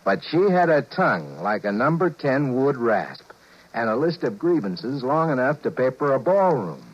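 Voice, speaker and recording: A wry, henpecked husband of 1950s radio drama. He is colloquial and fed up, and his pitch moves freely. The sound is a muffled old broadcast recording. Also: big pitch swings, moderate pace. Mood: wry, resigned. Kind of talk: 1950s radio drama acting with first-person narration.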